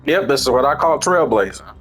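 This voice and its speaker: A man's voice: medium pitch, very animated, fairly quick, fairly bright tone, rough recording. A man giving casual gameplay commentary in a blunt, slangy, swearing manner. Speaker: gamer reacting to a story quest.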